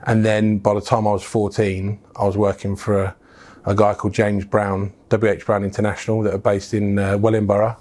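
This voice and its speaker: A man's voice: lowish voice, monotone, fairly quick, a little mellow. A middle-aged English businessman telling his life story in a frank, casual interview, with plenty of fillers and restarts. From England. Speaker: business founder, guest.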